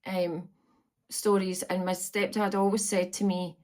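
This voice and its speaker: A woman's voice: fairly low pitch, little pitch movement, unhurried, darkish tone. A Scottish woman in her fifties chatting to camera from home, meandering with frequent ums. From Scotland. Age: 50s. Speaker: vlogger. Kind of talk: casual chat to camera. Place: at home on a farm, indoors.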